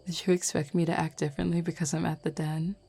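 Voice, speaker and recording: Woman voicing a low, gravelly, flirty female werewolf. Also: little pitch movement, warm tone, heavily acted. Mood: flirty, confident. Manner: flirtatious, unhurried teasing. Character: flirty, teasing, self-assured female werewolf of a pack. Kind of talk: intimate roleplay audio acting.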